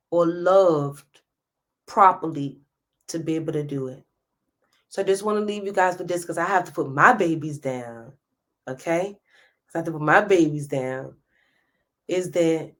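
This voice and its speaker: A woman's voice: lowish voice, fairly bright tone. A woman in her thirties hosting a live stream, giving a passionate motivational talk straight to her viewers. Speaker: host.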